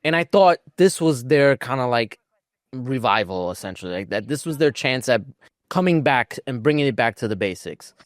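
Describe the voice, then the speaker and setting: A man's voice: medium pitch, animated, moderate pace, no echo. Male podcast co-host, dry close sound. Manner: informal, peppered with "like". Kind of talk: casual chat, commentary.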